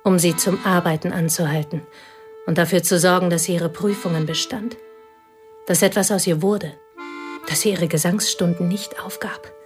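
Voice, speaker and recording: An actress in a radio play playing a bitter, possessive spinster, speaking in intense, deliberate short phrases with a breathy voice. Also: female voice, on the low side, fairly even intonation, faint music behind, natural voice. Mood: proud, insistent. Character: bitter, possessive spinster devoted to her girlhood friend. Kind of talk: radio play acting in German.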